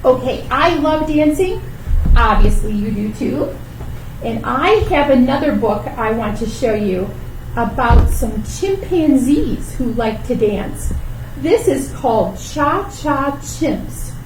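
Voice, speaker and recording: Lady with a high voice doing kids storytime, slow and encouraging, kinda far from the mic with some noise. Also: lively intonation. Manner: simple, encouraging talk addressed to small children. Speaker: library storytime host. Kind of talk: children's storytime, reading and teaching finger rhymes. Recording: roomy, distant microphone with background noise.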